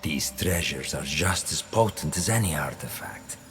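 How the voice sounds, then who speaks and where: Very deep, imperious scheming villain. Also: male voice, animated, a bit bright, faint music behind. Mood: cold, calculating. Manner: deliberate, imperious, silky menace. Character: cold, scheming Templar villain, a calculating man of ambition. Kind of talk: video game dramatic acting.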